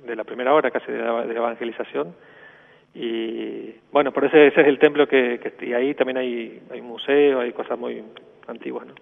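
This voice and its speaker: A man's voice: medium pitch, steady tone, normal speed, creaky, big-room sound. An Argentine man from northern Santa Fe, a priest, talking by phone in a radio interview, often repeating words and adding "¿no?". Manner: repeats words, many tags of "¿no?". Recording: tinny phone line.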